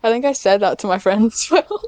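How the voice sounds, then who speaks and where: A woman's voice: medium pitch, animated, brisk, a little mellow, dry recording. A young woman with a British accent, chatting casually as a podcast guest and telling stories in a rambling, giggly way. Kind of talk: casual chat.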